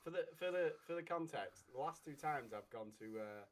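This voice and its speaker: Teasing man with a slightly high voice. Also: lively intonation, normal speed, a little hiss or hum. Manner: teasing, joking. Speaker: podcast co-host. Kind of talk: casual chat.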